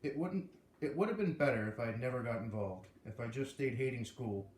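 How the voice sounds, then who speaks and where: A man's voice: mid-range voice, lively intonation, fairly quick, darkish tone, natural voice. A man voicing a candid, grudging, wry schoolboy who confides plainly in a storybook. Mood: regretful. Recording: echoey room.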